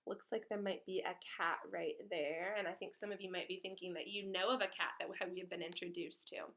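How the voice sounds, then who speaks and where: A woman's voice: fairly low pitch, steady tone, fairly quick, a bit bright. A woman reading a children's storybook aloud expressively, in the way of a teacher.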